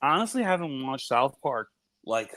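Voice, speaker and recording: A man's voice: sing-song, moderate pace, sharp tone, no room sound. Nasal-voiced man, dry close sound. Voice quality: high nasal voice. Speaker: podcast co-host. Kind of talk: casual chat.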